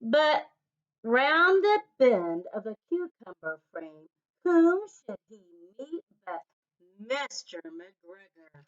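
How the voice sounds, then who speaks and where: A woman reading a children's story aloud, with deliberate pauses between short phrases. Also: sits high, sing-song. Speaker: storybook reader. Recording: dull lo-fi recording.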